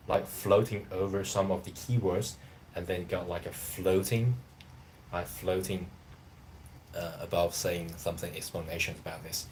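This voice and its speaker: A man's voice: sits low, slight background noise. A man in his thirties whose first language is Chinese, chatting casually on a live stream as a language teacher, rambling and hesitant. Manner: rambling, hesitant, loose phrasing.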